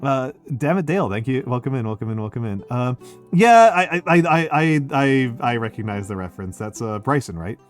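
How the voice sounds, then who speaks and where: A male streamer talks loosely and at length, tripping over his first words and restarting again and again. His pitch sits in an ordinary middle range, and soft music plays faintly behind him. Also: big pitch swings, brisk. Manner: stammering restarts, wordy and self-deprecating. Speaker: streamer painting miniatures. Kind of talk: casual stream chat.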